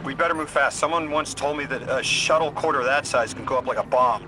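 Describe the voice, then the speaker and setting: A man's voice: brisk, music in the background, lightly acted. A man playing a wry, worried video game character in conversational, slightly hesitant dialogue. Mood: grave, conversational. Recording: noisy game ambience, vehicle rumble.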